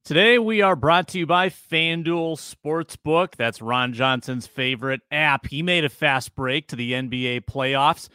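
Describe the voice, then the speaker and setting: An American man reads a sponsor ad in a brisk, upbeat way. His tone is bright and forward, and the sound is close and dry with no room around it. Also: on the high side, clear, resonant tone, dry recording. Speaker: co-host reading an ad. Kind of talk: read sponsor spot.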